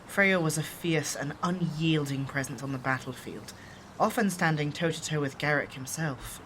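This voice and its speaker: A man's voice: a little high, a bit bright, lightly acted, poor audio. A voice actor, a man, playing a solemn, loyal exiled shapeshifter who speaks formally and earnestly in an audio drama. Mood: grave admiration. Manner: formal, measured, earnest speech. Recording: clear background noise and ambience.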